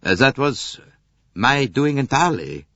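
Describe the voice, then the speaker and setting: A man's voice: medium pitch, very animated, measured pace, fairly bright tone, dry recording, muffled recording, pitched above the performer's own voice. A man voicing a proud, hot-tempered count in an audiobook, a protective husband speaking earnestly and emphatically. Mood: solemn, insistent.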